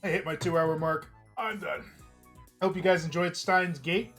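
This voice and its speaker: A hoarse man streaming, reading a visual novel aloud in a casual, chatty way with small slips. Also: a little high, normal speed, fairly bright tone, soft background music. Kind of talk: narrating a visual novel live.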